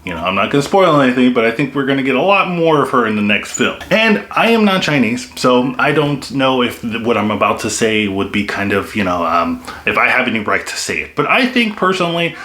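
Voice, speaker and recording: A man's voice: medium pitch, sing-song, a little fast, hardly any pauses, pushed and bright. A young man giving a casual, enthusiastic film review, with lots of "like" and "you know" fillers. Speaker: movie reviewer. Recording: echoey room.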